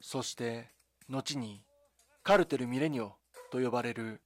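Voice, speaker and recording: A man delivering scripted dramatic documentary narration in a measured, solemn storytelling manner, with short deliberate phrases. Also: medium pitch, no room sound. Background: background music.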